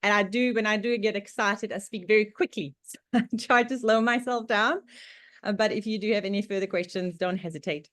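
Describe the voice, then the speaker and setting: A woman's voice: mid-range voice, fairly quick, a bit bright, dry recording. Woman, Czech accent, chatty presenter, laughs often, lots of ums, dry close sound. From Czech Republic. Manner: chatty, laughs often, many fillers and restarts. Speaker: guest presenter. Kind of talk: online club presentation. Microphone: video call.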